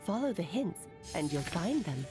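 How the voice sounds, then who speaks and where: A woman's voice: on the low side, sing-song, moderate pace, music in the background. A woman voicing a playful, theatrical witch aunt who teases fondly and laughs between her lines. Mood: knowing.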